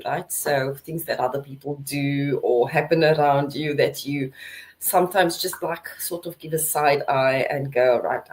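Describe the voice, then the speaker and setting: A South African woman hosting a podcast, chatting in a relaxed way and often checking in with her guests. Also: deep voice, rough recording. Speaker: podcast host. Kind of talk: casual chat.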